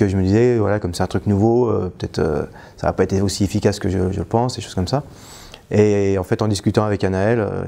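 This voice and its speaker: A man speaks in a gravelly, dark-toned voice, hesitating and restarting his sentences as he gives a spontaneous testimonial. He sounds like a coach talking off the cuff, trailing off with little filler words. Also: fairly low pitch. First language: French. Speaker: trainer and stress coach.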